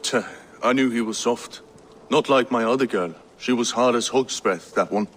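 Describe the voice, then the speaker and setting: An actor playing a gruff, chatty medieval village craftsman in a video game, blunt and folksy. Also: medium pitch, moderate pace, a bit bright, close to an everyday voice. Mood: blunt, proud. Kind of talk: video game character dialogue. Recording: some reverb and faint noise.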